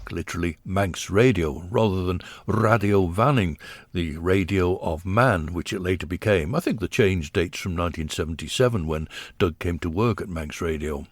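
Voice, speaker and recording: Low-voiced older man reminiscing. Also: no echo. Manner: measured, reflective storytelling. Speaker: narrator. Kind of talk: reminiscing documentary narration.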